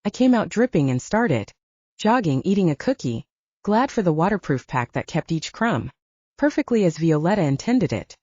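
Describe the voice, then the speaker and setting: A woman's voice: on the low side, very animated, normal speed, a little mellow, dry recording, lo-fi sound. A woman reading a scripted audiobook story as its narrator.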